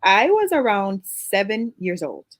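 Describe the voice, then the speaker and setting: A woman's voice: mid-range voice, big pitch swings, measured pace, dry recording. A woman from Jamaica with a Jamaican accent, chatting as an interview guest, laughing easily and trailing into fillers. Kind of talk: casual interview conversation.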